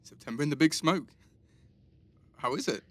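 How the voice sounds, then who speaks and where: Husky, easygoing teenage lad. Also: male voice, fairly high pitch, lively intonation, normal speed, no echo. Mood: teasing, playful. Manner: casual, slangy, teasing. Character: easygoing teenage lad, teasing, affectionate college student. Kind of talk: naturalistic audio drama dialogue.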